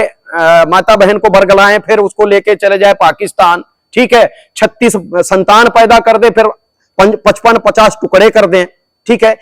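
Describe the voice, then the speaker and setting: A man with a high, ringing voice gives a sermon-like answer to an interviewer and hammers his points home through repetition. The sound is dry and close, with no room around it. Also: no room sound, rough recording. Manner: declamatory, repeats phrases for emphasis. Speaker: religious guru being interviewed.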